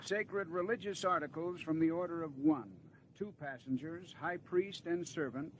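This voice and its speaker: A man's voice: on the high side, normal speed, slight background noise, close to an everyday voice, low-quality recording. A man playing a dutiful spaceship captain in an audio drama, speaking in a clipped, formal, deferential way. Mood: businesslike.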